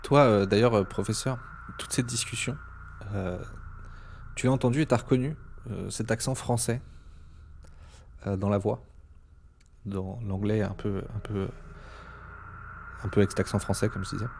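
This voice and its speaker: Low-voiced man running the game. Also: vocal fry, slight background noise. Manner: relaxed, halting. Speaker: game master. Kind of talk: narrating a tabletop game.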